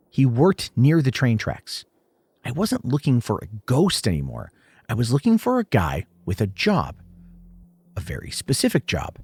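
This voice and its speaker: A man's voice: medium pitch, husky, dry recording. A man narrating a scripted first-person horror story in short, suspenseful, dramatic phrases.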